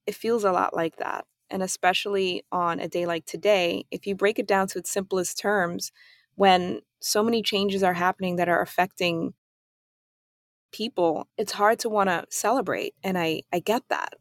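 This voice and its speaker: A woman from Germany hosting her own podcast, talking through her thoughts in a calm, reflective solo monologue. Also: medium pitch, normal speed, dry recording, clean studio sound. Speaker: podcast host.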